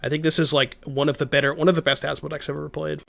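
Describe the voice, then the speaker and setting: A man's voice: a little high, little pitch movement, very fast, gravelly, a bit bright. A young man with a stuffed-up, worn voice, chatting casually as he streams a card game and talks to his chat. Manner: chatty, thinks aloud, reads chat, many restarts. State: stuffed up, voice a little shot. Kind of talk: casual gameplay commentary.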